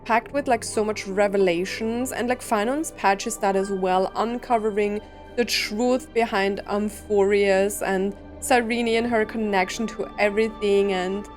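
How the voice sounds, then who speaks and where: Casting: female game streamer, slow-paced, casual and musing, faint music behind. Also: medium pitch, fairly even intonation. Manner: musing, thinking aloud. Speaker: gaming streamer. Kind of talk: casual game reaction commentary.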